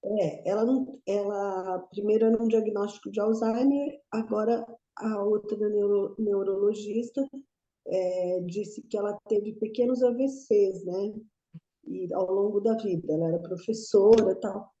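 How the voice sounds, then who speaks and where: Middle-aged woman, very dark mellow voice, rambling question, echoey dull audio. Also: medium pitch, steady tone, unhurried. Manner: rambling, restarting sentences. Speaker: student asking a question. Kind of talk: casual group discussion.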